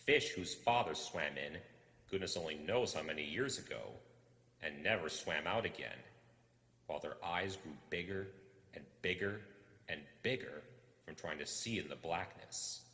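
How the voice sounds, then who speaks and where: A man reading a story as dramatic, suspenseful narration, theatrical and full of deliberate pauses. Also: deep voice, lively intonation, sharp tone. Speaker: storybook reader. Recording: echoey, long reverb.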